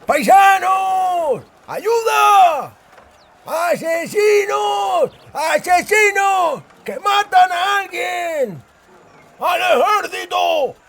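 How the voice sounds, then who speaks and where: A man voicing a panicked miner shouting for help with frantic, strained yells in a fantasy audiobook. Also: extremely high pitch, lively intonation, very slow, vocal fry, voice pushed up, heavily acted. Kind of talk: audiobook character voice.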